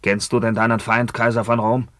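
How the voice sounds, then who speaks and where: A man's voice: medium pitch, flat delivery, brisk, a bit bright, no room sound, close to an everyday voice. An actor playing a proud, tormented Roman nobleman in a radio play, accusing in solemn, declamatory speech.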